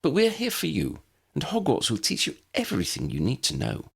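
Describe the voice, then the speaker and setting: A man's voice: medium pitch, wildly swooping pitch, fairly quick, gravelly, a bit bright, slightly rough, pitched above the performer's own voice, exaggerated voice. An earnest and bossy young witch from a storybook , acted out by a man. The voice is lifted and swoops emphatically, clever and insistent. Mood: comforting. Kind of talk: storybook character acting.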